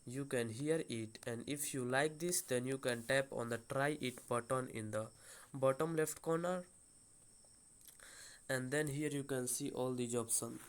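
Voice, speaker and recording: A man giving an unscripted step-by-step phone app tutorial as host, speaking in a halting, hesitant way with repeated phrasing. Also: medium pitch.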